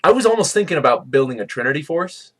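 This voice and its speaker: A man's voice: on the high side, very animated, fast, fairly bright tone, slightly rough. A man co-hosting a gaming podcast in casual chat, blunt and joking with dismissive asides. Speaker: podcast co-host.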